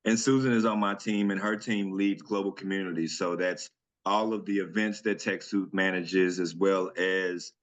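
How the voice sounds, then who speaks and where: A man with a very low voice presents a webinar over a video call. He talks in a relaxed, chatty way, with plenty of ums and small restarts as he goes. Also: normal speed. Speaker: senior director presenting a webinar. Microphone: computer mic on a video call.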